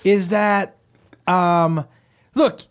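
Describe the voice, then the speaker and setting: A young man with a fairly high voice co-hosts a podcast. He jokes, teases his friends and tells stories with a sarcastic edge. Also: steady tone, very slow, smooth. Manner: joking, teasing, sarcastic. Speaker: podcast co-host. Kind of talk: casual podcast chat.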